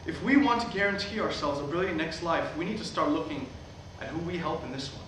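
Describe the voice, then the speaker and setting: A man's voice: fairly high pitch, fairly quick, natural voice. A man acting an earnest, weary megachurch pastor in a staged play reading. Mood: earnest preaching. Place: theatre at a live staged reading. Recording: echoey, noisy hall recorded from far off.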